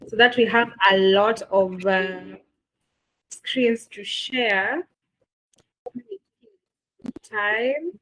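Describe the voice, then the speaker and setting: A woman's voice: fairly high pitch, a bit bright, poor audio. A woman with a Kenyan accent moderating the close of an online masterclass, enthusiastic, with lots of fillers and repeated words. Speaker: session moderator. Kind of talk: closing remarks on an online masterclass.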